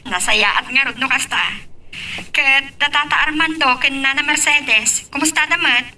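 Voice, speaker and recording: A woman plays a forthright big sister with a high, sharp-edged bright voice. She speaks with an Ilocano Filipino accent in a protective, radio-drama manner, over faint noise. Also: measured pace, heavily acted. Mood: caring. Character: protective, plain-spoken older sister of a young wife. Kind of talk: Ilocano radio-style audio drama acting.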